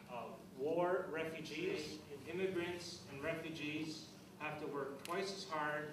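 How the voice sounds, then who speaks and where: A man delivers a public speech with emphatic, pointed phrasing. His voice sits slightly high, and it is caught from afar in a strongly echoing, noisy room. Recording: echoey room, distant mic, noisy.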